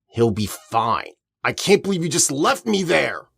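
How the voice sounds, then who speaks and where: An actor playing a troubled young friend from the past, husky and hesitant, in a naturalistic audio drama. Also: medium pitch, big pitch swings, measured pace, a bit bright, heavily acted. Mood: annoyed. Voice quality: husky, gravelly. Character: troubled, pleading street-kid friend from the past.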